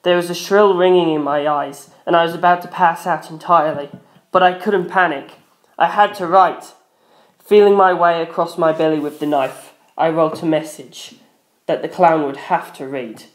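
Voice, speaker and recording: A man's voice: fairly high pitch, fairly even intonation, normal speed. A man reading a first-person story aloud as a dramatic narrator. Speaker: audiobook-style narrator. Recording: roomy echo.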